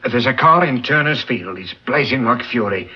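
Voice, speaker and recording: Urgent, husky caller. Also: male voice, medium pitch, lively intonation, moderate pace. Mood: urgent, alarmed. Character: urgent, alarmed ordinary man phoning in an emergency. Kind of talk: vintage radio drama acting. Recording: muffled old radio drama recording.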